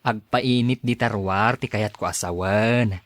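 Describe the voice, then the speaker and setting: Dutiful adult son played by a man, brisk and attentive, dry studio sound, audio-drama caregiver role. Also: fairly low pitch, dry recording, close to an everyday voice. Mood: attentive, coaxing. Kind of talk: Ilocano audio drama acting.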